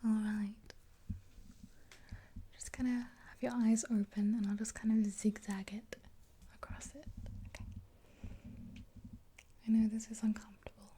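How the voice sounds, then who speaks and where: A woman in her twenties whispering gently and unhurriedly in a casual, rambling ASMR roleplay, close to the mic. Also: on the high side, flat delivery, measured pace, pauses often, a little hiss or hum. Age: 20s. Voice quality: breathy whisper. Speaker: ASMR roleplay performer as makeup artist. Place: small indoor room, dark backdrop.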